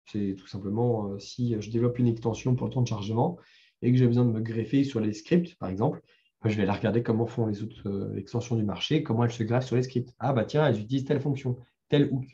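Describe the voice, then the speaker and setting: French guy chatting through a coding talk, mellow voice, bit muffled audio, lots of euhs. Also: medium pitch, steady tone, fast. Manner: loose, conversational, many fillers and restarts. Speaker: meetup speaker. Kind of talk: live talk with screen demo.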